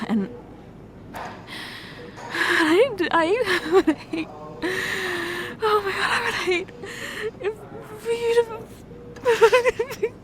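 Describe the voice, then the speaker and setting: A woman performing an emotional ASMR roleplay confession, tearful and halting, repeating words and breaking off. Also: extremely high pitch, a little hiss or hum. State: tearful, crying.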